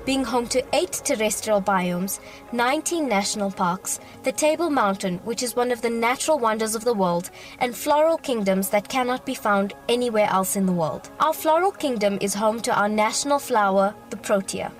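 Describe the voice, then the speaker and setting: South African woman in her early 20s, pageant intro voice-over, bright-ish pitch, music playing behind. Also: lively intonation, moderate pace. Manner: polished, formal, proud delivery. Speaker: pageant contestant. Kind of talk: scripted pageant introduction video.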